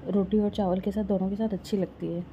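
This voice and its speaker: A woman's voice: mid-range voice, little pitch movement, fast talker, warm tone, slight background noise, poor audio. A woman from Rajasthan, India, talking a viewer through a home recipe step by step in her kitchen, recorded on a phone held close. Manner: homely, explains step by step. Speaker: home cooking vlogger. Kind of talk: casual cooking walkthrough.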